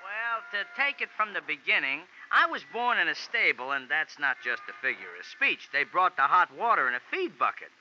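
Touchy pint-sized ex-jockey, pompous, animated delivery, sharp edge, dull vintage radio sound. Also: male voice, a little high, moderate pace, hardly any pauses, exaggerated voice. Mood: earnest pleading. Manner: pompous, wordy, stiffly formal street talk. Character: small, cocky, touchy male ex-jockey. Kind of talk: 1940s radio drama character acting. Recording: dull, hissy 1940s radio recording.

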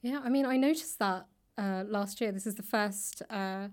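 A woman with a southern British accent chatting casually as an interview guest, tentative and pausing mid-thought. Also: medium pitch, darkish tone. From London, England. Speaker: interview guest, author and actor. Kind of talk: casual chat. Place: indoors, in a library.

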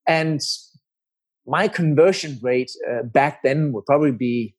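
A middle-aged man with a Danish accent, telling his story as an interview guest in measured, explanatory phrases. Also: a little high, darkish tone, husky. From Denmark.

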